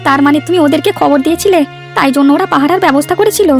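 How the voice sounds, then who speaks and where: A woman's voice: sits high, racing, vocal fry, faint music behind. A woman voicing a timid, guilty village man in a cartoon story, hesitant, stammering and pleading. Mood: sheepish, afraid. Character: timid, guilty village man, hired henchman and henpecked husband.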